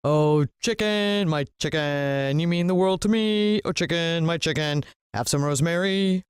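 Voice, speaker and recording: A woman voicing a huge, greedy, beastly man, a gleeful child-eating storybook villain with a sing-song, drawn-out way of talking. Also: fairly low pitch, clean tone, no echo, clean studio sound, lightly acted. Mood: singing to himself. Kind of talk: storybook character voice.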